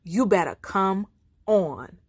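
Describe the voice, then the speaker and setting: A woman's voice: mid-range voice, animated, slow, slightly rough, no echo. A woman voicing a clever, bossy male rabbit from a children's folktale, coaxing and commanding. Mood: urging, bossy. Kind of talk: storybook character voice for children.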